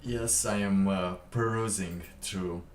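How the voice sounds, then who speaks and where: A man live streaming, chatting casually in a halting, rambling way with lots of fillers. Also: deep voice, fairly even intonation, slight background noise. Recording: roomy, distant mic with faint ambience.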